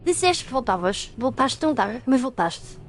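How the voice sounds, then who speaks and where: A woman's voice: high voice, very animated, normal speed, pitched above the performer's own voice. A woman voicing a chorus of ghostly waiting children, pleading and plaintive.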